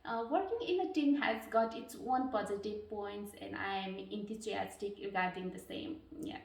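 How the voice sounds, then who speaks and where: Earnest woman from Nepal, echoey and distant. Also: medium pitch. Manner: earnest, hesitant, pauses with fillers and restarts. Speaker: job candidate. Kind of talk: mock job interview answers. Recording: echoey room, distant mic.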